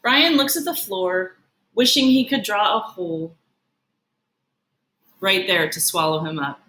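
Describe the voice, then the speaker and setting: A woman reading a children's picture book aloud like a teacher, in an expressive, deliberate way. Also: medium pitch, creaky. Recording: roomy, echoing sound.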